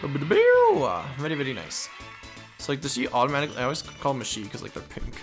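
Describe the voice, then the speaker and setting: Animated man doing video game commentary. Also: mid-range voice, fairly quick. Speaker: let's play host. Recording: game music behind voice. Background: video game music.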